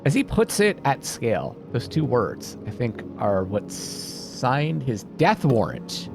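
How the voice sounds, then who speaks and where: Male game streamer, casual and pondering, animated intonation, background music clearly audible. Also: mid-range voice, slow pace. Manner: thinking aloud, puzzling things out. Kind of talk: casual let's-play commentary, reading game text aloud.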